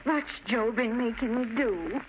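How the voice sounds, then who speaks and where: Slow, weary grieving mother played by an actress. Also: on the high side, lightly acted. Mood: anxious. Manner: weary, mournful, lamenting. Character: despairing, worried mother of two criminal sons. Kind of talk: 1930s radio acting. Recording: scratchy, muffled old radio recording.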